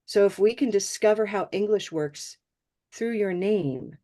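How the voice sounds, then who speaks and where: A woman's voice: mid-range voice, unhurried, mellow. A woman teaching English pronunciation in an online class, encouraging and laughing often.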